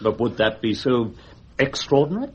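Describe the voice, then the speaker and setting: Actor as a wry, very expressive gentleman. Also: mid-range voice, moderate pace, dull audio, a little hiss or hum, lightly acted. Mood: wry, sceptical. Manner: wry, precise, understated. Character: dry, sceptical gentleman, wry confidant. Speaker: actor in a radio drama. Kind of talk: BBC radio drama acting.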